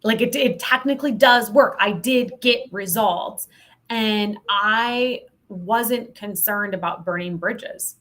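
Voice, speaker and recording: A woman's voice: mid-range voice, takes it slowly, fairly bright tone. A woman speaking as a podcast guest, telling work stories in a candid, emphatic, informal way. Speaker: podcast guest, sales consultant. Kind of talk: conversational interview storytelling.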